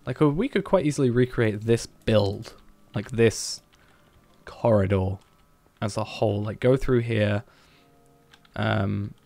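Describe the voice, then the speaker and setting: Man, low voice, gravelly, hesitant game streamer thinking aloud. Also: takes it slowly, dry recording, soft background music. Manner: musing, hesitant, planning out loud.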